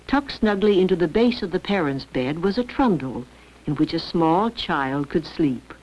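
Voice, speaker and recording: A woman's voice: on the low side, expressive, normal speed. A woman reading scripted narration for an educational film. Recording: dull lo-fi old educational film.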